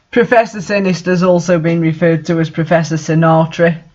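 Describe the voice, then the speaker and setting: An amateur reader delivers fan fiction and casual commentary on it. The manner is incredulous and sarcastic, with some swearing. The voice sits at an in-between pitch, on a dull, lo-fi recording. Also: little pitch movement, moderate pace, a little mellow.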